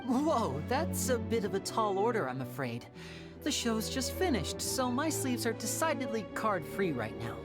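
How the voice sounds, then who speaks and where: An actor playing a gentle, devoted young male stage magician in a video game, with polished, theatrical phrasing. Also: high voice, wildly swooping pitch, moderate pace. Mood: light, playful. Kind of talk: video game character dialogue. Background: faint game music.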